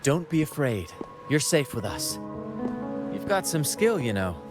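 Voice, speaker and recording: Flamboyant young troupe captain, lightly high. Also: male voice, very animated, unhurried. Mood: gallant, reassuring. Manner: theatrical, gallant, confident. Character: flamboyant, bold young male captain of roving players. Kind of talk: video game voice acting. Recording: background music under the voice.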